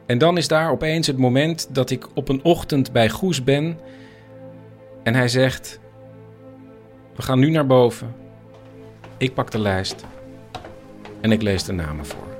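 Mid-pitched male documentary narrator. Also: unhurried, pauses often. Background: faint music.

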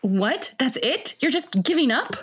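A fiery young woman rebel in an audio drama, brash and blunt. Her voice is high and swings widely as she argues with determination. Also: sing-song, a little slow, slightly rough, heavily acted. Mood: impassioned. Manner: blunt, impassioned.

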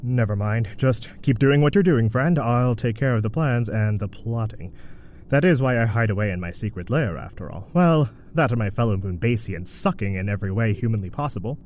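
A man's voice: medium pitch, expressive, fairly quick, darkish tone, natural voice. A man playing a reclusive, bitter, scheming moonbase scientist in a sardonic audio drama monologue. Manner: sardonic, theatrical brooding. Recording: faint noise and ambience.

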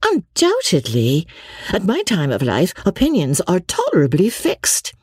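Woman acting ardent young romantic woman, swooping pitch. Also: huge pitch swings, unhurried, darkish tone, husky, dry recording, studio quality, full character voice. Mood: firm, nostalgic. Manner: emphatic, exclamatory.

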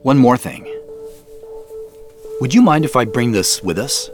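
A man's voice: medium pitch, lively intonation, a little slow, music in the background, close to an everyday voice. A man acting a polite, hesitant man seeking answers in an audio drama, correcting himself as he talks. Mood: hesitant, earnest. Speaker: audio drama lead actor. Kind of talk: naturalistic audio drama dialogue.

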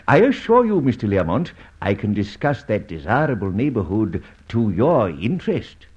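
A dull old radio recording carries a suave gentleman adventurer. His husky voice rises and falls dramatically as he delivers polite, teasing lines with cool assurance and calculated hesitation. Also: lowish voice, sing-song, moderate pace. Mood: courteous, insistent.

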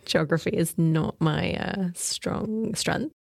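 A woman's voice: fairly low pitch, slow, creaky, dry recording, clean studio sound. A woman in her thirties, from Wales, chatting casually as a podcast guest in a giggly, teasing way. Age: 30s. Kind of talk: casual chat.

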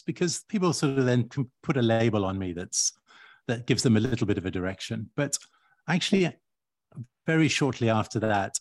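A man's voice: mid-range voice, dry recording. Reflective middle-aged male guest. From grew up in Uganda and Kenya. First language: Swahili. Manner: reflective, measured, with hedges. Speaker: guest architect. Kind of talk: podcast interview.

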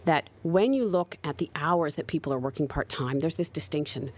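A woman's voice: low voice, expressive, brisk, a little mellow. A woman in her forties with an American accent, a researcher answering interview questions and often adding "right?" as she explains. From United States. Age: 40s. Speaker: guest researcher.

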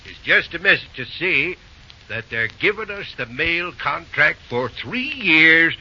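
A man's voice: a little high, sing-song, pushed and bright, exaggerated voice. Folksy, flustered old railroad man. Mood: proud. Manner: rustic drawl, halting, repeating himself. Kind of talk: old-time radio drama acting. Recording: dull, hissy old radio recording.

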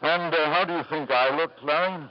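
An actor playing a powerful middle-aged tycoon in a radio drama, with halting, smooth-talking insistence. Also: male voice, a little high, natural voice. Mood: genial, brisk. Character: powerful, self-satisfied middle-aged British tycoon, hesitant in charm. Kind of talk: 1950s radio drama acting. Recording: dull, noisy 1950s radio recording.

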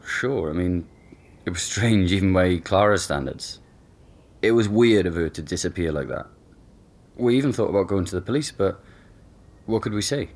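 An actor playing an easygoing young boyfriend being interviewed at home, casual and hesitant in a naturalistic audio drama. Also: male voice, low voice, animated, moderate pace, long pauses, a little mellow. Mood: candid, puzzled.

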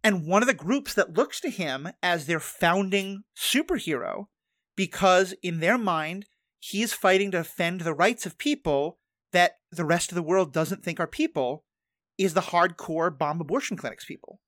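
A podcast host at a middle pitch, recorded close and dry with no room sound. The delivery is animated, speeding up in bursts and doubling back on phrases mid-thought. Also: no echo. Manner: animated, fast bursts, thinking aloud with restarts. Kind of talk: conversational interview.

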